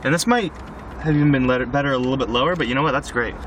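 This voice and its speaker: A man's voice: medium pitch, rapid-fire. A man chatting casually and easygoingly, with some self-deprecation, while doing hands-on installation work in or beside a car. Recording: loud vehicle and outdoor background noise.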